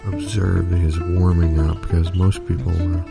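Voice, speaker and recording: A man as a very deep, gravelly deadpan commentator. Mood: deadpan reverence. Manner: halting, deadpan musing. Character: reverent, understated male music broadcast commentator. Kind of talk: improvised parody concert commentary. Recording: loud guitar music behind.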